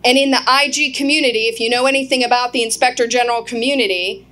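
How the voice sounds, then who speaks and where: An earnest woman in her forties speaks to a live crowd, her fairly high voice carrying through a PA from a little way off. She speaks directly to the audience and asks them questions. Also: steady tone, quick pace, pushed and bright. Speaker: guest speaker. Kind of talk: public speech to a live crowd. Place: outdoor event.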